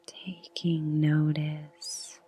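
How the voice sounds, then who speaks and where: A woman guides a meditation almost entirely in a whisper. Her voice is deeply breathy and husky, sitting low and nearly flat in pitch. She takes her time, unhurried, with long gentle pauses. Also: faint music behind. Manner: soft whispered delivery; slow, soothing pacing with long pauses.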